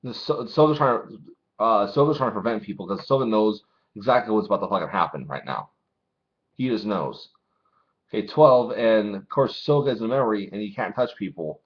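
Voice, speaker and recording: A man's voice: lowish voice, expressive, fairly quick. Casual man reading along, dull lo-fi sound. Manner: informal, swearing, acts out dialogue. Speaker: manga reviewer reading chapters aloud. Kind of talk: casual read-along narration with commentary.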